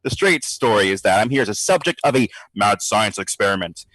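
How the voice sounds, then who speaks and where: Audio drama: flippant male sceptic, animated and slightly raspy, wry diary-style chatter, dry recording. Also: on the low side, fairly quick, dry recording, rough recording. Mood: mocking, flippant. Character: cocky, sarcastic male sceptic recording himself on a ghost study.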